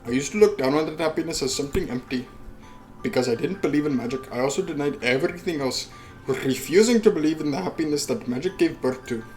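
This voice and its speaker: A man's voice: on the high side, quick pace, a little mellow, faint music behind, poor audio. A man who hosts a let's play reads a visual novel aloud and adds casual asides with swearing.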